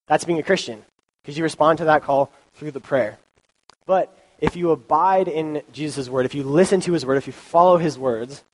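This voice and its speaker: A man's voice: a little high, low-quality recording. Young man with a cold, chatty youth sermon. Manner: informal, chatty, many ums and likes. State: has a cold, sniffly. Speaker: youth pastor. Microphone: handheld or stand mic.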